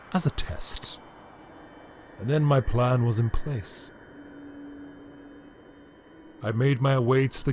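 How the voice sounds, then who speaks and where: A man playing a bitter, megalomaniac ancient emperor without a body, delivering a grandiose, theatrical monologue with dramatic pauses in an audio drama. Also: medium pitch, darkish tone, a little hiss or hum, close to an everyday voice. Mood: smug, scheming. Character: bitter, megalomaniac male emperor, ancient disembodied time-travelling being.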